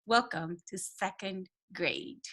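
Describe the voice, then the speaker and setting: A middle-aged woman teacher with a Romanian accent giving an informal welcome talk, often pausing to search for words. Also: mid-range voice, expressive, fairly bright tone. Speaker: second grade teacher.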